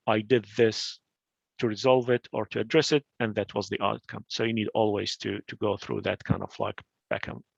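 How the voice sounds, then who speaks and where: A man's voice: medium pitch, vocal fry, no room sound, highs cut off. A man from Iraq presenting career advice in an informal talk, full of fillers and restarts, on a video call. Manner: advisory, with frequent fillers and restarts.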